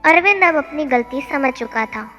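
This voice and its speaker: गूँज भरी रिकॉर्डिंग में पीछे संगीत के साथ एक महिला लिखी हुई धार्मिक नीति-कथा सुना रही है।. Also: female voice, high voice, animated, moderate pace, sharp tone. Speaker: storyteller. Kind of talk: scripted narration of a devotional moral tale. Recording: long hall reverb, music bed. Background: music.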